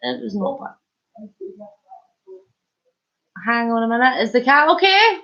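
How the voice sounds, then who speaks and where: A woman's voice: on the high side, animated, takes it slowly, creaky. Chatty Scottish woman live-streaming with a cold. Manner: chatty, warm greetings, chuckles. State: head cold, sore throat. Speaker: vlogger hosting a live stream. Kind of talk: casual live-stream chat, greeting viewers. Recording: lo-fi dull sound.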